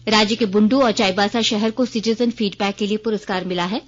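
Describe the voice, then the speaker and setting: A woman's voice: mid-range voice, fairly even intonation, fairly quick. A woman with an Indian accent reading a formal, scripted news bulletin as a radio newsreader. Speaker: news reader. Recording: dull, band-limited radio broadcast sound.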